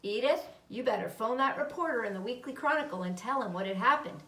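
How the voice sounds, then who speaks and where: A woman's voice: fairly high pitch, expressive, quick pace, fairly bright tone. A woman voicing a proud, bossy male farmer in a storybook, giving emphatic orders. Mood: proud, excited. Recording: roomy echo, distant mic.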